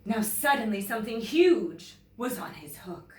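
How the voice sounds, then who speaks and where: A woman with a Canadian accent, a teacher presenting and reading a children's story aloud in an expressive, deliberate way. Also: a little high, recorded from a distance. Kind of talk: children's storybook read-aloud with casual introduction. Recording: roomy echo, away from the mic.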